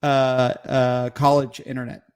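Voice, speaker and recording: A man with a mid-range voice co-hosts a livestreamed podcast, cracking crude jokes and laughing along. He pokes fun at himself easily, in an everyday speaking pitch. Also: level tone, moderate pace, darkish tone, clear, resonant tone. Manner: laughing, self-deprecating, joking. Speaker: podcast co-host. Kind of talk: crude comedic banter.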